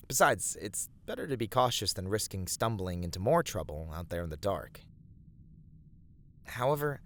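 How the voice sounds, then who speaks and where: A man acts out a steadfast male adventurer in a fantasy audio roleplay. His voice sits on the low side, with measured, slightly formal phrasing that encourages and plans. Clear background ambience runs behind the voice. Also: slight background noise, natural voice. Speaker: voice actor in a roleplay. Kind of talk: scripted ASMR fantasy roleplay addressed to the listener.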